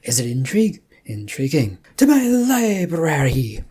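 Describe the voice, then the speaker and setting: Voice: medium pitch, sing-song, unhurried, warm tone, slightly rough. A storybook narrator voicing a bossy, combative princess with an imperious, theatrical manner. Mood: dramatic. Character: bossy, troubled, combative princess in torn dress.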